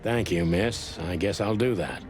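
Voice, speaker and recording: A low-voiced, hardboiled old rooster cop for a noir video game. He is weary, dry and brooding. Loud music plays behind him. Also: a little slow. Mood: hesitant, polite. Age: older. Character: world-weary old male rooster police detective, cynical noir. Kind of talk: film noir game voice acting.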